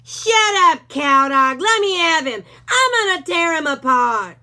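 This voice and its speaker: A bullying male Doberman, as a woman reads him, drawls his threats slowly and with a snarl. The voice sits high and bright for a tough guy, cocky and sure he will win. A storybook villain. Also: sing-song, full character voice. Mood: menacing.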